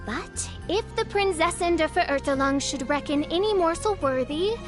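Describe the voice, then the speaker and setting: High-pitched, pompous gothic princess girl. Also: animated, normal speed, music in the background. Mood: haughty, solemn. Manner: theatrical, pompous, archaic diction. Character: grandiose teenage girl playing a gothic princess of condemnation. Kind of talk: scripted game character voice lines.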